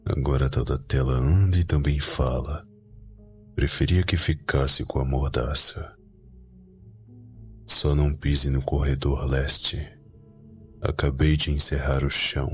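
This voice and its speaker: A man's voice: extremely low pitch, fairly even intonation, unhurried, long pauses. A man playing a grumpy young vampire brother who is curt but secretly fond, in an ASMR roleplay drama. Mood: grumbling. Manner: curt, grumbling, dismissive. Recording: music behind the voice.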